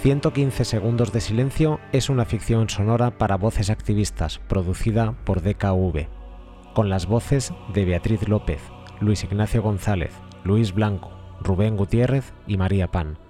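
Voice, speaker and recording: A man's voice: fairly low pitch, moderate pace, warm tone, faint music behind. A man reading scripted production credits as an announcer.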